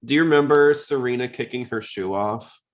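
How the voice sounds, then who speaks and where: A man's voice: medium pitch, a little fast. A man hosting a podcast, talking casually about anime in a conversational way. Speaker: podcast host.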